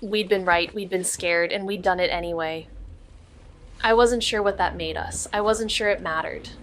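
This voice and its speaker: A woman's voice: lowish voice, fairly even intonation, moderate pace, a little hiss or hum, natural voice. An actress playing a wry, composed young woman detective in a naturalistic audio drama, speaking in a dry, understated way. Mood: reflective. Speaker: lead actor and narrator in an audio drama. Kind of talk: naturalistic noir podcast drama acting.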